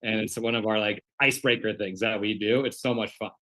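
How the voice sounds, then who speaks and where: A man's voice: on the low side, steady tone, a little fast, pushed and bright, poor audio. A man speaking as a host, chatting casually and making introductions. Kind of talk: casual chat.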